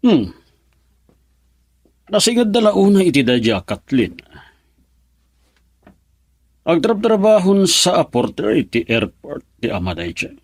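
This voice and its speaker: A man's voice: a little high, big pitch swings, unhurried, pauses often, darkish tone, breathy edge, dry recording, full character voice. A man playing a suspicious, controlling middle-aged father in a radio drama, with a Filipino accent. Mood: wary, businesslike. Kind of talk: Ilocano radio drama acting.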